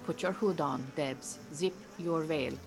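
A woman playing a firm, measured beekeeping teacher in an audio drama, giving instructions. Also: low voice, vocal fry, music in the background, poor audio. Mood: calm, instructive.

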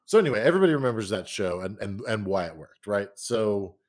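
A man chatting on a podcast in a somewhat low voice. He rambles through stories and keeps leaping into sudden high-pitched quoted voices. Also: big pitch swings, quick pace, darkish tone. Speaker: podcast co-host. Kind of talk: casual chat.

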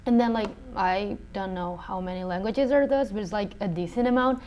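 A woman's voice: medium pitch, brisk, darkish tone, a little hiss or hum. A woman interviewer asking questions in a casual, conversational style.

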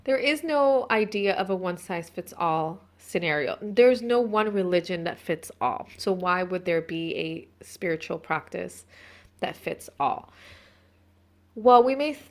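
A woman in her thirties hosting a casual talk about spiritual practice. Her speech is chatty and rambling, full of restarts and asides. She sits at a middle pitch, neither high nor low. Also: measured pace. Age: 30s. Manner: chatty, meandering, self-interrupting. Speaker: host.

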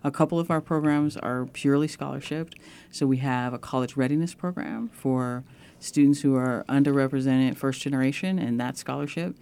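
A woman guest on a radio interview, co-founder of a nonprofit, explaining its programs in a measured way. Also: a bass voice, mellow, smooth.

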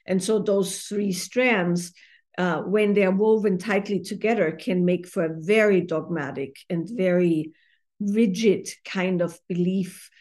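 A middle-aged woman from Austria, a therapist and author, giving thoughtful interview answers with many pauses and fillers. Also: on the low side, fairly even intonation, darkish tone.

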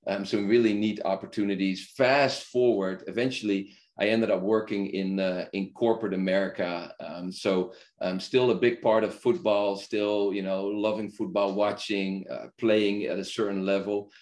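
A middle-aged man from the Netherlands, a podcast guest, telling his story in a relaxed, rambling way full of fillers and restarts. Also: lowish voice, normal speed, few pauses. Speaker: podcast guest, football club founder. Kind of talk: casual conversational storytelling.

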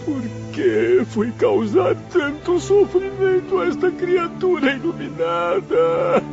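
An actor with a Brazilian accent playing a remorseful, grieving professor spirit in a radio drama, pleading and lamenting theatrically. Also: male voice, very high-pitched, sing-song, slow pace, vocal fry, exaggerated voice. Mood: anguished, tearful. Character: grieving, remorseful male professor spirit longing for his mother. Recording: muffled radio drama over faint music.

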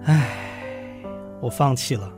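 A man's voice: mid-range voice, slow, over music, lightly acted. Man acting a patient, earnest husband in radio drama. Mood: resigned, grave. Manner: soothing, earnest, sighing. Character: patient, dutiful husband calming his panicking wife.

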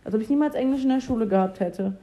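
A young woman chatting casually in a relaxed, slangy, self-deprecating way. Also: a little high, rapid-fire, muffled recording. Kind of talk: casual conversation.

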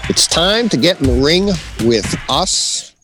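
A man's voice: a little high, big pitch swings, takes it slowly, fairly bright tone, faint music behind. A middle-aged man co-hosting a podcast in a casual, conversational style about writing. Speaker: podcast co-host. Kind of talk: casual chat about writing, with some reading aloud.

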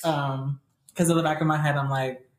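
Low-voiced young woman, rambling chat. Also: level tone, normal speed, heavy background noise. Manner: rambling, lots of "like" and "um". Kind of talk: casual chat. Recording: echoey room.

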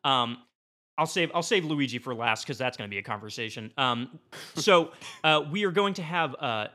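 A man with a bright, clear-edged voice of ordinary pitch talks as a guest in a casual debate. He delivers a rambling comic pitch in a mock-serious way, as if every point matters. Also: expressive, moderate pace. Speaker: podcast guest. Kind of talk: animated comedic pitch in a casual panel debate.

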